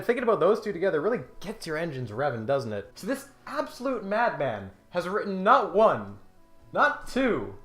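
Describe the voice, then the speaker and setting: Guy hosting a comedy video essay, emphatic fake-serious delivery, echoey room, bit of music under it. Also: a little high. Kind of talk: scripted comedic commentary with dramatic read-aloud passages. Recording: roomy echo, music behind.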